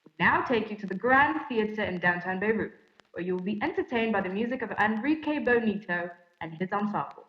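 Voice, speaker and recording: A woman playing a formal 1930s radio announcer, reading bulletins in a measured, scripted way. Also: medium pitch, lively intonation, close to an everyday voice. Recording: echoey room, slightly dull sound.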